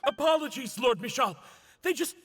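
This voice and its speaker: A man's voice: very high-pitched, expressive, moderate pace, fairly bright tone, big-room sound, heavily acted. An actor playing a frantic, deferential male underling soldier in a video game, pleading breathlessly. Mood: frantic, apologetic.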